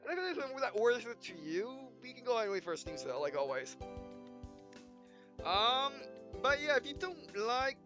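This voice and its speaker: Male let's-play host, high-pitched, loose and rambling, with game music playing underneath. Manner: rambling, restarts phrases often. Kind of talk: casual game commentary.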